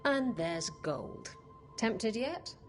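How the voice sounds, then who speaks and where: A woman's voice: fairly high pitch, very animated, very slow, over music, heavily acted. A woman playing a teasing, adventurous leader of treasure hunters in a fantasy video game, savoring her words. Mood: playful, tempting. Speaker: voice actor. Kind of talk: video game cutscene acting.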